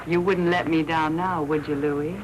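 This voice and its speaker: An actress playing a wry, sharp-tongued American newspaper reporter in 1940s film noir style, dry and needling. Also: female voice, sits low, steady tone, normal speed, crowd noise, natural voice. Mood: coaxing. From United States. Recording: noisy, crackly 1940s film soundtrack.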